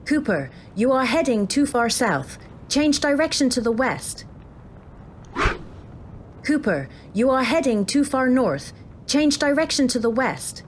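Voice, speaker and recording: An actress playing a calm, detached female game AI, giving clipped instructions and warnings in a video game parody drama. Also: sits high, a little slow, slight background noise. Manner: flat, clipped announcements and instructions.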